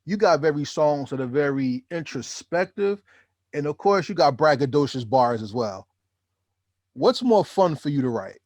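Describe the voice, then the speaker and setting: A man hosting an interview, enthusiastic and rambling, sometimes rushing into fast speech. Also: a little high, lively intonation, a little mellow, no room sound. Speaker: interview host. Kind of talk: casual interview.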